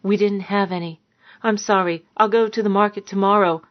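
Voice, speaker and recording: A woman's voice: medium pitch, fairly even intonation, fairly quick, muffled recording, close to an everyday voice. A woman voicing a meek, frightened woman who sounds timid, apologetic and pleading in a dramatised story. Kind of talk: dramatised fiction narration.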